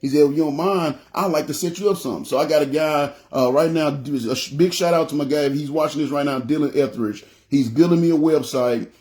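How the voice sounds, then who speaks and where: A man with a deep voice, a pastor preaching casually on a livestream, speaking in everyday slang, often asking "you know what I'm saying?" and acting out what people say. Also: quick pace, few pauses, darkish tone. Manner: acts out quoted lines.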